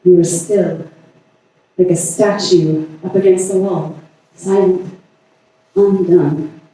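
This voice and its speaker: A man performing a staged monologue as a lovelorn woman haunted by obsessive passion, halting and deliberate with long pauses. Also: sits high, fairly even intonation, mellow. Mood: haunted, confessional. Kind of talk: staged dramatic monologue reading. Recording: echoey, distant stage recording.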